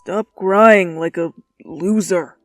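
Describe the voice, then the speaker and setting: A gruff, awkward delinquent boy put on by a woman narrator talks slowly and curtly. His pitch is lowered and his manner commanding. This is storybook dialogue over faint music. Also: fairly even intonation, no room sound. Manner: slow, curt, commanding. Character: gruff, awkward teenage male delinquent, secretly caring.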